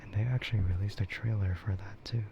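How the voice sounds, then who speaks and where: A man streaming ASMR in a soft, breathy whisper, chatting casually and unhurriedly in a meandering way that trails off. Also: lowish voice, steady tone, quick pace. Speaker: streamer hosting an ASMR livestream. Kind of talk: ASMR, rambling casual chat about games and anime. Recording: muffled lo-fi sound with clear noise.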